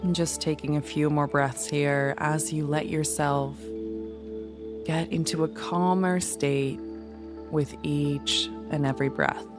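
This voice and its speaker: Slow, low-voiced woman guiding meditation. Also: steady tone. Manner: soothing, gentle, unhurried instruction. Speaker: guided meditation guide. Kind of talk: guided meditation narration. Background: music.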